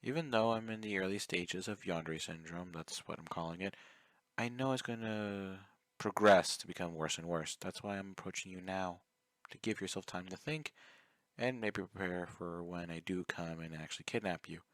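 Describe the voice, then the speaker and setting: A man playing an obsessive, clingy male yandere fan in an ASMR roleplay, speaking intimately and coaxingly, courteous even while threatening. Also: sits low, normal speed, no echo. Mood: politely menacing. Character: obsessive, clingy, soft-spoken young male yandere fan, politely menacing.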